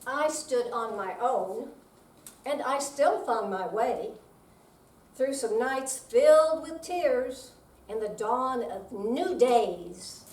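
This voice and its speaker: Older woman, higher voice, echoey. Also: expressive, takes it slowly, darkish tone. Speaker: readers theater performer. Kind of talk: slow dramatic reading in live performance. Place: senior center room, mic at a distance. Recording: echoey room, far from microphone.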